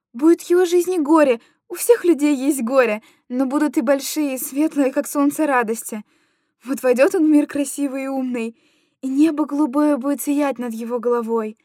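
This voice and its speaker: Lady acting a tender anguished mom cooing to her little kid, pretty high voice, dry close sound, literary monologue. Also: dark tone, no room sound, natural voice. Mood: loving, hopeful. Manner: cooing, repetitive, childlike storytelling to a small child. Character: anguished tender young mother soothing her little boy. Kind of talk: dramatic literary monologue reading.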